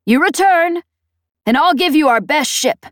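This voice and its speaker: A woman narrator plays a tough female pirate boss in an audiobook. She pitches high and commanding, pressing her case with a taunting, persuasive edge. The sound is close and dry. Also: animated, measured pace, a bit bright, no room sound. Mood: bargaining. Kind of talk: audiobook character dialogue.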